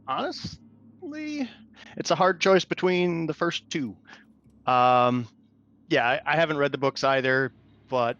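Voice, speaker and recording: A man's voice: fairly high pitch, fairly bright tone. A man chatting casually as a game player, thoughtful and a little hesitant. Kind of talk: casual chat. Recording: dull audio with faint noise.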